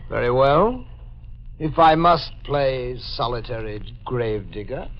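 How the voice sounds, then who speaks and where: An actor playing a sinister, sardonic man with a deliberate, mocking manner, in 1940s radio drama style. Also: mid-range voice, natural voice. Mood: taunting. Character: sardonic, sinister male relative, coolly taunting. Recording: muffled vintage 1940s radio recording.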